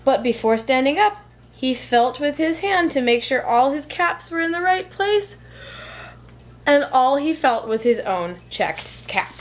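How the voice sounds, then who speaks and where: Woman preschool teacher, high lively voice, expressive rhythmic picture-book narration, light background noise. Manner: deliberate, rhythmic storytelling. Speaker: preschool teacher reading aloud. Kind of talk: expressive children's storybook narration.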